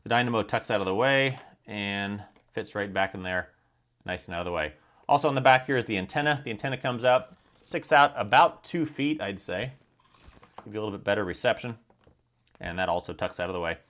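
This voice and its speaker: A man's voice: fairly low pitch, lively intonation, a little fast, fairly bright tone. An American man giving an informal product demonstration and review, explaining each point in a matter-of-fact way.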